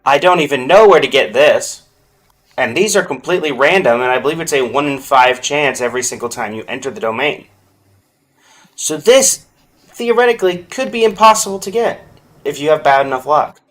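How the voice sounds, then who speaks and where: A man's voice: a little high, moderate pace, fairly bright tone. A man doing casual, chatty livestream commentary on a video game, speaking directly to his viewers. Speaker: live streamer.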